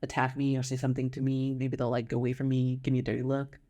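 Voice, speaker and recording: A woman's voice: extremely low pitch, monotone, fast talker, warm tone. A woman with an American accent chatting casually as a podcast guest, in a conversational way. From United States. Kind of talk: casual chat.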